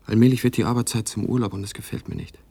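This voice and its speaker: An actor playing a lonely, brooding writer voicing a letter in a radio play, intimate and reflective, with a husky voice. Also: male voice, fairly low pitch, fairly even intonation, rapid-fire, dry recording. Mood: wistful, reflective. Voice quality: husky, gravelly, mellow.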